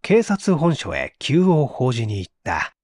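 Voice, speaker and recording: A man's voice: medium pitch, huge pitch swings, a little slow, a little mellow, no room sound, clean studio sound. A man narrating a mystery story from a script in a theatrical, suspenseful manner. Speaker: audiobook narrator, stage actor.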